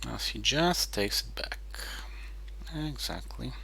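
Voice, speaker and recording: Slow, gravelly man muttering over a game. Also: mid-range voice, lively intonation, bright, forceful tone, slight background noise. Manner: terse, halting, muttering to himself. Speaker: board game player. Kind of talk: muttered gameplay commentary, thinking aloud.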